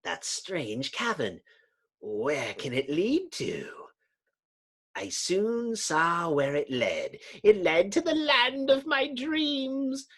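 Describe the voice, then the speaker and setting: A woman voicing a bitter, menacing male underground villain in a husky, grandiose, theatrical comic-book reading. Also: medium pitch, very animated, slow pace, full character voice. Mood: excited, grandiose.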